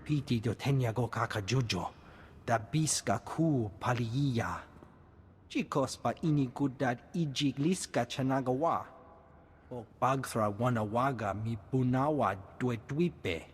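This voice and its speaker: A man's voice: mid-range voice, measured pace, husky. A man voicing a scheming, glib alien in a video game, speaking in invented alien syllables. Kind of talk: video game creature acting. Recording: long reverb with music behind.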